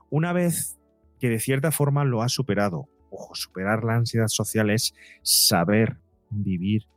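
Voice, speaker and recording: A man's voice: on the low side, no echo. A man speaking as an interview guest and psychologist, explaining in a conversational way and voicing imagined quotes to illustrate his points.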